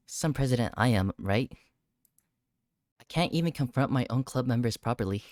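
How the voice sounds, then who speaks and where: A woman voicing a mild, apologetic teenage club president from a visual novel, gentle and self-deprecating. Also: very deep voice, expressive, unhurried, no room sound, studio quality. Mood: apologetic, soft. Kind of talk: acted reading of game dialogue.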